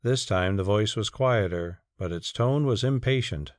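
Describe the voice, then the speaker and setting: A man's voice: on the low side, unhurried, no room sound, clean studio sound. A man narrating a story as a scripted, dramatic audiobook reading.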